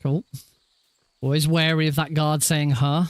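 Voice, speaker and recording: A man with a naturally high voice, rambling casually and self-deprecatingly as he hosts a gaming livestream. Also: level tone, measured pace, no room sound. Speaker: livestreaming speedrunner and host. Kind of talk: casual livestream chat over gameplay.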